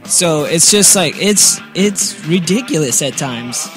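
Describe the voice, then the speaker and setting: A man's voice: a little high, animated, slow pace, music in the background. A man in his twenties hosting a podcast in a slangy, joking, casual chat style. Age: 20s. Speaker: podcast host.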